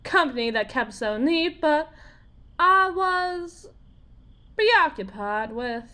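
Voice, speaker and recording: A woman acts out a desperate farm wife in an ASMR roleplay, her voice fairly high. She speaks very slowly and haltingly, with folksy, theatrical turns and a sardonic edge, sounding wounded and worn down. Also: very animated, pushed and bright, heavily acted. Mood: weak, wry. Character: desperate, wry, wounded pregnant farm wife bargaining with demon. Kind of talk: ASMR dramatic roleplay monologue.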